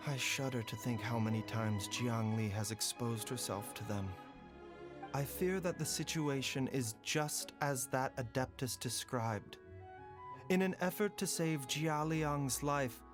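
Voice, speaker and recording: A man playing a calm, courteous doctor in a video game, speaking in a measured, formal way with grave concern. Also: fairly high pitch, natural voice. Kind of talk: scripted video game voice acting. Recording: background music under the voice.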